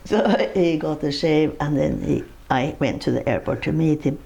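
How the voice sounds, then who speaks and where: A woman's voice: sits low, moderate pace, vocal fry, warm tone, highs cut off. An older woman with a Norwegian accent, recalling her life in a personal interview, rambling through her story with laughs and restarts. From Norway.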